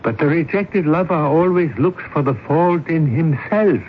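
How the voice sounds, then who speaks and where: A man's voice: a little high, slight background noise, natural voice. Man playing a probing, insistent doctor, muffled old broadcast. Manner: deliberate, pointed, rhetorical questioning. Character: probing, insistent male doctor, coolly authoritative. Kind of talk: 1970s radio drama acting.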